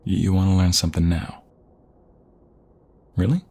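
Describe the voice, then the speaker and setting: A man playing a protective, affectionate mobster fiancé in an intimate ASMR roleplay, with a husky, breathy voice that often drops toward a whisper. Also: low-pitched, fairly even intonation, warm tone, exaggerated voice. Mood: playful, helpful. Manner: affectionate, teasing, slow and murmuring. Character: protective, tender mobster fiancé soothing his beloved.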